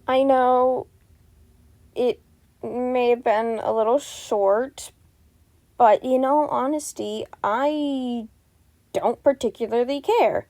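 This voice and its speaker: A woman's voice: on the high side, slow, pauses often, dark tone, no room sound. A woman narrating a fan fiction story as an amateur audio reading. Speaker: podfic narrator.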